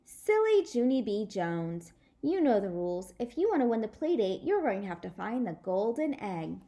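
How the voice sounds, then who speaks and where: A woman's voice: medium pitch, big pitch swings, normal speed, dark tone. A woman voicing a showy, self-important, bossy rich little girl in a children's storybook. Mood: smug.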